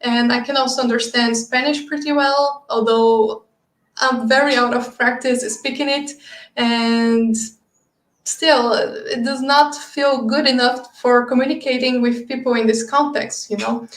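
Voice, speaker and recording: Brazilian woman, portuguese accent, earnest presenter voice, a bit high, roomy echo. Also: fairly even intonation. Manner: earnest, explanatory, with thoughtful pauses. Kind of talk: online conference talk.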